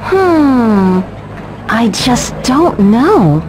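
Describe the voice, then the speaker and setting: A woman playing a gentle, helpful young neighborhood woman in a video game, speaking hesitantly. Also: a little high, big pitch swings, drawn-out words, background noise, heavily acted. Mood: helpful, unsure. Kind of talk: English video game voice acting.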